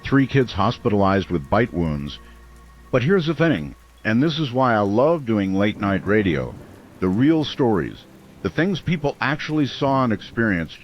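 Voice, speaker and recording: A man playing a folksy, intrigued late-night talk radio host with a confiding, unhurried manner. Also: lowish voice, close to an everyday voice. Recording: dull radio sound, faint rain noise.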